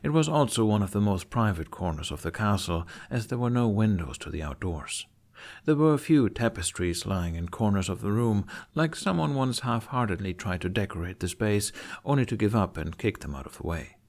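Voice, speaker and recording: A man reading scripted fantasy fiction aloud as an expressive storyteller. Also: fairly low pitch, moderate pace. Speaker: podfic narrator.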